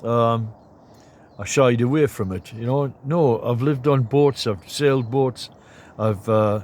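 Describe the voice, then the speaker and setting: A man in his seventies talking casually to camera in a rambling, chatty vlog, clearing his throat now and then, inside a large cabin. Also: mid-range voice, slow, darkish tone. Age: 70s. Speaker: vlogger.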